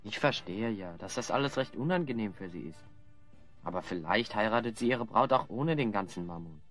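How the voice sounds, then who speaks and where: An actor playing a level-headed, dryly ironic adventurer hero in an audio drama. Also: male voice, on the low side, animated, brisk, soft background music, close to an everyday voice. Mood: resigned, reassuring. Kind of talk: German audio drama acting.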